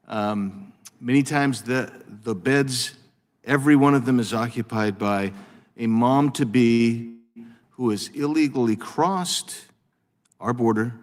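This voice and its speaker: A congressman questioning witnesses at a committee hearing, talking in halting bursts with many restarts and fillers. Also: medium pitch. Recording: long reverb of a hearing room.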